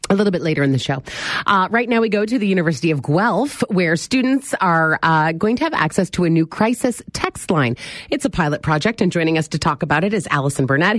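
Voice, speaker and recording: A middle-aged woman hosting a morning radio talk show, chatty and animated as she talks straight to her listeners. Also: lowish voice, no room sound, studio quality. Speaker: radio host. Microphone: studio microphone.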